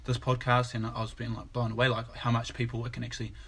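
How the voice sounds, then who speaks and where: A man's voice: medium pitch, monotone, fast talker, fairly bright tone, husky. Easygoing New man, podcast host. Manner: easygoing, lots of "bro" and "like". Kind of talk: casual podcast chat.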